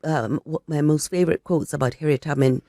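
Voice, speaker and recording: Croaky older woman, low and mellow. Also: dry recording, studio quality. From South Africa. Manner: reflective, measured storytelling. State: croaky from a flu. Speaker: actress and guest. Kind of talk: radio interview.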